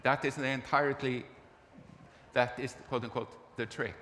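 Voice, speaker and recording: A man with an Icelandic accent and a mid-range voice addresses a conference panel. His phrasing is measured and halting, with dry asides. The hall adds long reverb and faint noise. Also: mid-range voice, fairly even intonation, sharp tone. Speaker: panelist from the games industry. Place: large conference event hall.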